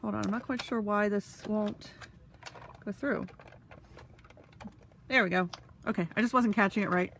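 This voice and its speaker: A woman's voice: mid-range voice, normal speed, long pauses, a bit bright, a little hiss or hum. A woman with a stuffy, sniffly nose, chatting casually with lots of fillers while she demonstrates a craft tool. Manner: talks while handling tools. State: congested, sniffly.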